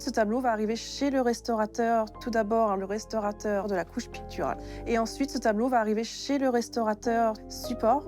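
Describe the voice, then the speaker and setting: A woman representing a museum explains procedures in an orderly, formal way, as in a documentary interview. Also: a little high. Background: music.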